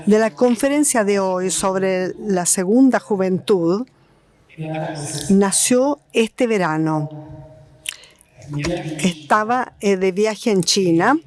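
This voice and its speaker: Female interpreter for a live talk, deliberate delivery with fillers, mid-range voice. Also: medium pitch, darkish tone. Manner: measured, with pauses and fillers.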